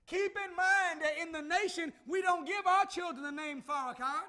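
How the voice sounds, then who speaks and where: A man preaching a sermon to a congregation, calling out to them and building to shouts. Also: very high-pitched, normal speed. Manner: call-and-response preaching, rising to shouts. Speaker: minister.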